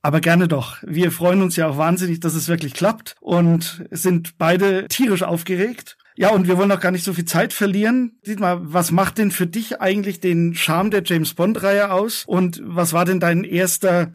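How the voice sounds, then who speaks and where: A man's voice: high-pitched, normal speed, few pauses. A man co-hosting a podcast, introducing a guest and asking questions in an upbeat way. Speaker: podcast co-host. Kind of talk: casual podcast talk, interviewing.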